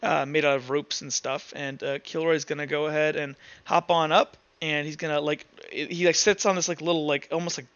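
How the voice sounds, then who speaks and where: Male game master, clean smooth voice on the high side, halting improvised narration, slightly muffled sound. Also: flat delivery, a bit bright. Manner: halting, thinks aloud while narrating. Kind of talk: improvised narration and table talk in a tabletop roleplay session.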